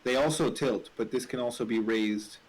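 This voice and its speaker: A man in casual conversation, halting and repeating words as he explains things. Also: mid-range voice, a crowd in the background. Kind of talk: casual chat. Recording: clearly noisy, keyboard clicks. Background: typing and clicks.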